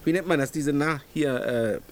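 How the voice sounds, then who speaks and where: An actor playing a hesitant, musing city dweller in a conversational radio drama. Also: male voice, on the high side, moderate pace, slight background noise, natural voice. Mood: pondering. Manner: hesitant, pondering.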